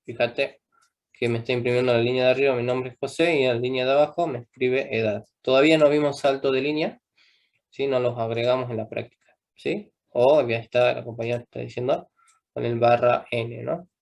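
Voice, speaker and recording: A man's voice: medium pitch, steady tone, brisk, creaky, a little mellow. A young man teaching a live coding class, patiently checking in with students after almost every step. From Argentina. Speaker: programming teacher.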